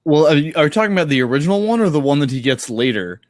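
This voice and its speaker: A man's voice: a little high, sing-song, rapid-fire, no echo, clean studio sound. A man chatting casually and enthusiastically, rambling through recaps in an informal, sweary way. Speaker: podcast host or guest discussing comics.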